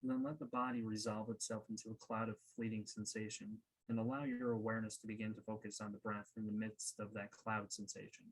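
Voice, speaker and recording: A man leading a guided meditation as a coach, speaking in a calm, unhurried way with pauses. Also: on the low side, husky, rough recording.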